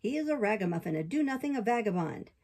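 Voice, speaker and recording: A woman's voice: mid-range voice, very animated, fast, a little mellow, slightly rough. A woman voicing a blunt talking cricket doctor from a storybook, sententious and accusing. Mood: scolding.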